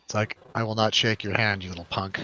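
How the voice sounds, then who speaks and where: A man's voice: on the low side, little pitch movement, fast, sharp tone, no echo, dull audio. A man hosting a casual film chat, joking around, hesitating and trailing off. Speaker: host of a film review show.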